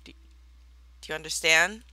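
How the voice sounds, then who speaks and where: A young woman with a very bright, sharp-edged voice, chatting through her block-building game with playful, mock-villainous theatrics. She sounds a touch raspy, like she has just rolled out of bed. The sound is close and dry, with no room around it. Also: sits low, normal speed, no echo. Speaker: Minecraft let's player. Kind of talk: casual gameplay commentary.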